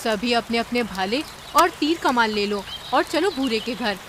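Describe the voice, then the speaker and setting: A woman's voice: fairly high pitch, lively intonation, unhurried, background noise. A woman voicing a commanding, dutiful ant queen in a cartoon story, speaking in a solemn, declamatory way. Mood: grieved, vengeful. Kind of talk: Hindi animated cartoon story acting.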